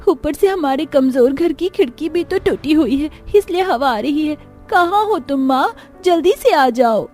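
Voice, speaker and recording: Woman as poor young daughter, cartoon drama, childlike, pleading, raised pitch, music behind. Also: expressive, measured pace, a little mellow. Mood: plaintive.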